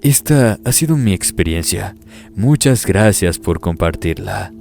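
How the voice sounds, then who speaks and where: Man, very low voice, grave steady horror narration, faint music behind. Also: a little slow, vocal fry. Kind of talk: scripted first-person storytelling. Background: faint background music.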